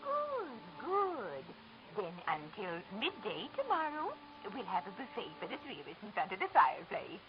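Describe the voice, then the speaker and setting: An actress playing a sweet, genteel old widow, fluttery and politely evasive, in an old-time radio detective drama. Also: female voice, medium pitch, wildly swooping pitch, normal speed. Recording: muffled old radio recording, faint noise.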